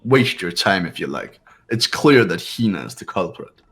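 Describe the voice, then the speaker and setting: A man's voice: sits low, very animated, normal speed, a bit bright. A man voicing a cold, arrogant young heir who dismisses others with condescension. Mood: condescending. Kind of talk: fan voice acting of a game.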